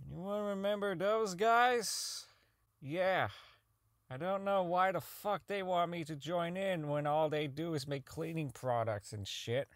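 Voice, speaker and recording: Man acting a bitter, rambling, high-voiced engineer. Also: a bit bright, no echo, studio quality, full character voice. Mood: irritated, awkward. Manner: rambling, halting, profane, self-deprecating. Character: socially inept, bitter, unravelling male robotics engineer. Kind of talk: audio drama monologue as a recorded personal log.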